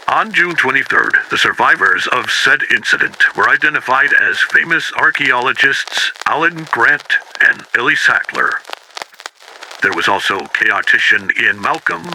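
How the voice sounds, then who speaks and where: A man plays a grave male investigator dictating an official case report for an analog horror tape. His voice has a very bright, hard edge at a middling pitch. He speaks solemnly and deliberately, over faint radio-like noise. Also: full character voice. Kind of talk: 1990s analog horror audio log, scripted report. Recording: noisy, harsh-edged radio-like tape recording.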